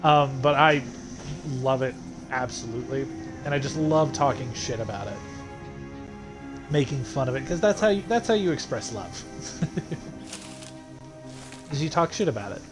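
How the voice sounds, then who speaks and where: A man's voice: mid-range voice, animated, unhurried, long pauses, music in the background, rough recording. A male streamer chatting casually over gameplay, swearing freely and laughing often.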